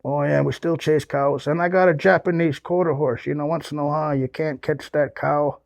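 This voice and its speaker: Older man, gravelly, slightly high voice, dry close sound, rambling storyteller, from the US Pacific Northwest. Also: fairly even intonation, normal speed, dry recording. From Pacific Northwest, United States. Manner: rambling, folksy, with restarts. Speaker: guest speaker. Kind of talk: storytelling talk with audience questions. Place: small hall with audience.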